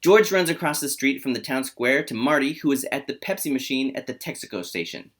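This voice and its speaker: A man's voice: mid-range voice, expressive, brisk, a bit bright. A man hosting a comedy table read and narrating the screenplay's scene directions. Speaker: host and narrator.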